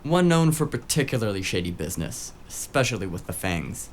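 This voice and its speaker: Man playing a cheeky, lowish-voiced detective's sidekick. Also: big pitch swings, fairly quick, a little hiss or hum. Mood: casual, informative. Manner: breezy, casual banter. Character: cheeky, eager male tech-savvy investigator sidekick. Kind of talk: noir audio drama acting.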